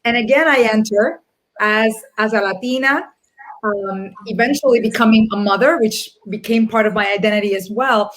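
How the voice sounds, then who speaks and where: This is a middle-aged woman from the Dominican Republic with a fairly high voice, speaking on a panel over a video call. She sounds earnest and reflective, and she often stops to restart a thought. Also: normal speed, a bit bright, rough recording. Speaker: panelist, corporate people executive. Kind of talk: panel discussion.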